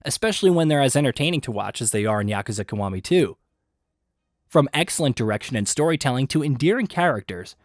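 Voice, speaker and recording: Man narrating with very animated, swooping pitch. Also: dry recording. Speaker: video essay narrator. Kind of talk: scripted narration for a video game list. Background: background music.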